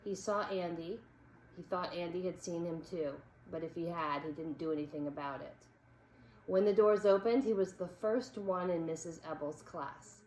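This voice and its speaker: A woman reading a children's story aloud as an expressive narrator. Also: fairly low pitch, moderate pace. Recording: echoey room with background noise.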